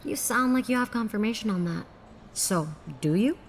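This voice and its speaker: A woman's voice: fairly high pitch, normal speed, slight background noise, natural voice. A woman playing a sardonic, defiant strike team agent in a drama, dry and challenging. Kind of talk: dramatic dialogue.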